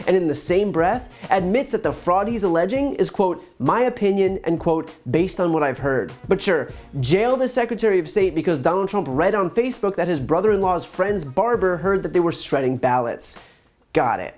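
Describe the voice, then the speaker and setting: An American man in his thirties delivers political commentary. His voice sits fairly high for a man and is soft-edged and mellow, while his scripted delivery is emphatic with sarcastic asides. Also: lively intonation, moderate pace, faint music behind. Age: 30s. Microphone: lapel mic.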